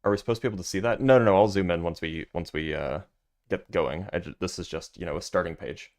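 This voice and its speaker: Deep-voiced man hosting a casual livestream. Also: fast talker, a little mellow. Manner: loose and chatty, many fillers, answers chat. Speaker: livestream host reviewing a physics paper. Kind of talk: casual livestream, reading aloud and commenting.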